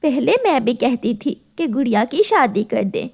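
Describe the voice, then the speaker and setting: Cartoon mother bird, female performer: protective, insistent, high register, very animated intonation. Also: moderate pace, gravelly, heavily acted. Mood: defiant. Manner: firm, insistent.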